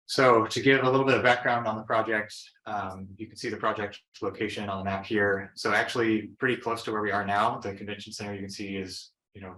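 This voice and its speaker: Low flat-voiced man presenting in a roomy hall. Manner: relaxed, plain explanatory talk with fillers. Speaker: presenter, engineering consultant. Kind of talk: conference presentation. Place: conference room.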